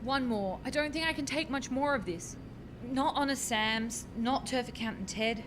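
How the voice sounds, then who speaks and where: A woman's voice: moderate pace, natural voice. A woman playing an anxious, bossy scientist in a radio farce, exclaiming and cautioning in exasperation. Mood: exasperated. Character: anxious, bossy female scientist juggling time-travel experiments. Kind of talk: radio play farce acting. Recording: clear background noise with low rumble.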